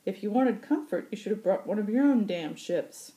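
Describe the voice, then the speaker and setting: A woman's voice: medium pitch, lively intonation, normal speed, dark tone, pitched above the performer's own voice, lightly acted, low-quality recording. A woman voicing a small, wiry old male pilot in an audiobook, cheeky, chatty and salty, forever teasing. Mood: gruffly teasing.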